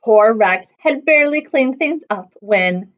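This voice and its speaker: Female children's storytime host, high animated voice, unhurried, slightly dull lo-fi recording. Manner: expressive, simple child-directed phrasing. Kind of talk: animated read-aloud storytime for preschoolers.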